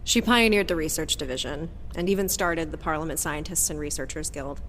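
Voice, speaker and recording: An actress playing a defiant, guarded former agent weighed down by family legacy, earnest and bitter, in an audio drama. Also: female voice, deep voice, a little fast, fairly bright tone, a little hiss or hum. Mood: reflective. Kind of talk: audio drama acting with first-person narration. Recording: long hall reverb, clear background ambience.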